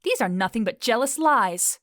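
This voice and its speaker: A woman's voice: fairly high pitch, expressive, moderate pace, fairly bright tone, no echo, clean studio sound. A woman playing a proud, defiant fairy queen in an audio drama, declaiming with dramatic indignation. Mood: aggrieved. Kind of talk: modern-English audio drama acting.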